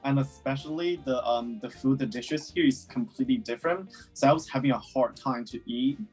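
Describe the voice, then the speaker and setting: A young man from China speaks easygoing English, his first language Chinese, at a middle pitch. He fills his answers with "um" and "like" as he thinks aloud. Faint guitar music plays behind him. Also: brisk, slightly rough, poor audio. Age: 20s. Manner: relaxed, many fillers like "um" and "like". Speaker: guest. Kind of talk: casual interview answers.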